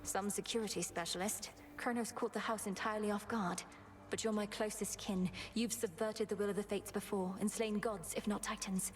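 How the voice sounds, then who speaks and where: A woman performs a resolute young princess from a fantasy game. She has a medium-pitched voice, speaking formally and urgently, as a bossy sister would. Faint noise sits behind her. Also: steady tone, normal speed, few pauses, close to an everyday voice. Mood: chiding. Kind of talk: video game dialogue acting.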